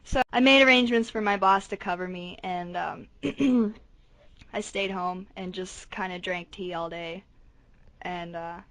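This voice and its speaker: A woman co-hosting a casual podcast, a little hoarse, telling a rambling story full of "like" fillers. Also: on the low side, fairly even intonation, unhurried, fairly bright tone, low-quality recording. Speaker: podcast co-host. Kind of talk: casual chat.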